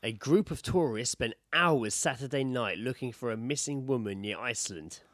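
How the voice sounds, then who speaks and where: A man's voice: mid-range voice, animated, normal speed, a bit bright, dry recording, clean studio sound. A man co-hosting a podcast, chatting casually and reading out odd news stories in an informal, sweary way. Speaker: podcast co-host.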